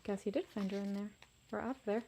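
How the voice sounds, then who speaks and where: A woman's voice: mid-range voice, steady tone, brisk, mellow, a little hiss or hum. A woman reading a picture book aloud for children, musing and putting questions to her listeners. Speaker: storybook reader.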